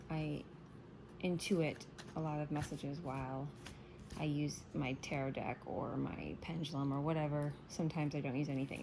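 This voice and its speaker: A woman giving a casual morning tarot reading, soft-spoken and meandering, her voice still scratchy from waking up. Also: low voice. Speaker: tarot reader.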